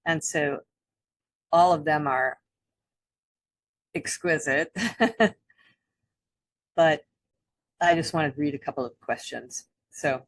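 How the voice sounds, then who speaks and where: A woman co-hosting a spiritual talk, speaking haltingly with many restarts and soft laughs. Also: on the low side, no echo, low-quality recording. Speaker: co-host. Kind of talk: spiritual teaching chat.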